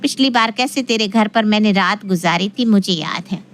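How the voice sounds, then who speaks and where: A woman's voice: medium pitch, moderate pace, a bit bright, a little hiss or hum, close to an everyday voice. A woman playing a middle-aged mother of a married daughter in an audio story, affectionate but exasperated and complaining. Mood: wary, complaining. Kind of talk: Hindi audio story acting.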